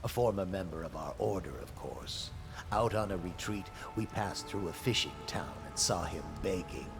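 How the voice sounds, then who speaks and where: A seasoned brother of a stern religious order in a game. His voice is low and his phrasing measured and formal, with music clearly heard behind it. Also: animated. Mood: solemn. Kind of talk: video game voice acting.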